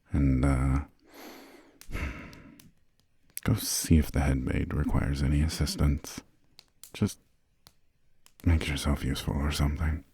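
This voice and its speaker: A man playing a refined, imperious vampire lord in an ASMR roleplay, speaking in a hushed, formal, slightly haughty way with a breathy, gravelly low voice. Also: full character voice. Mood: exasperated. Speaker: employer briefing a new assistant.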